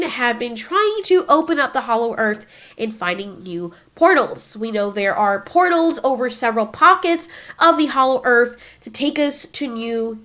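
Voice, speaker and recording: A woman voicing a nervous, formal female kaiju spokesperson giving a speech in an emphatic, deliberate way. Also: fairly high pitch, a bit bright.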